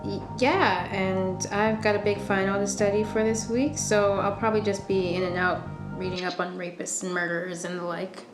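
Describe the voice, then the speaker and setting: A woman acts a young student in a radio play, kind but guarded and drily funny. Her voice sits at a middle pitch. It rings in a roomy space, and music plays clearly behind her. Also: level tone, normal speed, natural voice. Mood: casual, guarded. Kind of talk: radio drama dialogue. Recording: echoey room, music behind.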